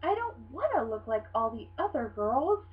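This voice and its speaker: A woman's voice: a little high, sing-song, moderate pace. A woman voicing a theatrical, pleading, fashion-obsessed little schoolgirl in a storybook read-aloud. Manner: theatrical, whiny, emphatic. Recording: dull lo-fi sound with hum.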